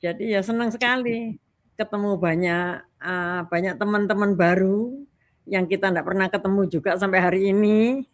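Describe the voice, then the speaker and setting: A woman's voice: mid-range voice, normal speed. Chatty older Indonesian woman. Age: 60s. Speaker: talk guest. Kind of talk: casual chat.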